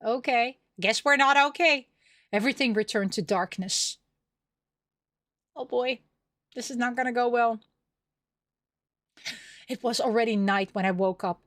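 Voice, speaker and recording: A woman reading a visual novel aloud as a gameplay host, expressive and theatrical, with playful asides. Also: fairly high pitch, measured pace, long pauses, dry recording, studio quality.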